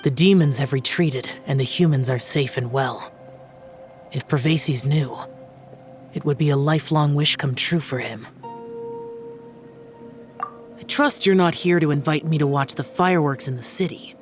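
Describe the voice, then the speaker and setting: A man's voice: fairly high pitch, moderate pace, pauses often, faint music behind, lightly acted. An actor playing an aloof, brooding young male demon slayer in an anime game, curt and solemn. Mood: solemn, distant.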